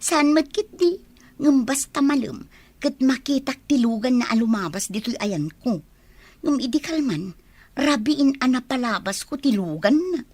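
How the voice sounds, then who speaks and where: An actress playing a gossipy auntie neighbour with a husky voice in an Ilocano comedy radio drama. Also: female voice, sits high, expressive, unhurried, darkish tone, no echo. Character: gossipy auntie neighbour who watches passers-by.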